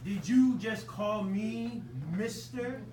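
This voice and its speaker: A man's voice: high voice, steady tone, slow. A man voicing a boastful, fierce lion king in a puppet show, with a pompous, snarling manner. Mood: pompous, indignant. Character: boastful, violent lion king demanding to be called Majesty. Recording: noisy, roomy recording from far off.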